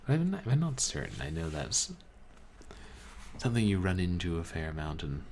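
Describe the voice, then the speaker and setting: A man streaming a book reading, chatting casually with his chat in a calm, musing, halting way. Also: deep voice, slight background noise. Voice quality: calming voice. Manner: musing, soft-spoken, halting. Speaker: streamer reading books aloud.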